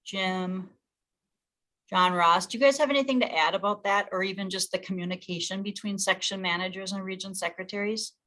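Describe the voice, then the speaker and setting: A woman's voice: medium pitch, a little fast, sharp tone. A woman leading a staff meeting, explaining things informally and thinking aloud in a casual, conversational way. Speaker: meeting leader.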